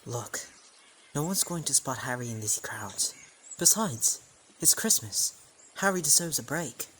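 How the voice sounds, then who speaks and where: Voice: mid-range voice, lively intonation, measured pace, a bit bright. An actor playing an easygoing, blunt schoolboy in an audio drama. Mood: reassuring. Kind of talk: amateur audio drama acting. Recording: faint background noise.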